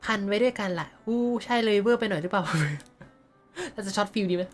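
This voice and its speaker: A woman's voice: mid-range voice, rapid-fire, faint music behind. A woman streamer chatting casually while playing, reading along and joking. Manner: chatty, reads along and jokes. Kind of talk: casual game commentary.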